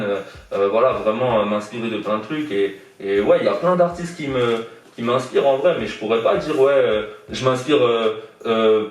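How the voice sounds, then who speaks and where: A young man born in Iran and raised in France, a guest artist answering interview questions casually, hesitant, with many fillers and repeated words. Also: mid-range voice. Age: young adult. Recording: echoey room, distant from mic.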